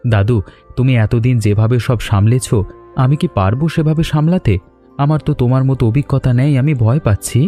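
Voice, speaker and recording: An earnest young man from an animated story, voiced by a man. His tone is soft-edged and dark, with emphatic, devoted delivery and light music behind. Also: medium pitch, normal speed, close to an everyday voice.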